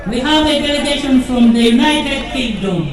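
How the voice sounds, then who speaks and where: High-voiced announcer booming over distant PA. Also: male voice, roomy sound. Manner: formal, drawn-out announcing. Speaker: event master of ceremonies. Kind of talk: formal ceremony announcing over PA. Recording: distant PA in open grounds, music and crowd.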